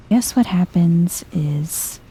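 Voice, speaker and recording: Very soft, dark-voiced woman, hushed and breathy. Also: fairly low pitch. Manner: hushed, unhurried, musing to self, often slipping into whisper. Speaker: video game streamer, let's player. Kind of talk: soft-spoken ASMR gameplay commentary.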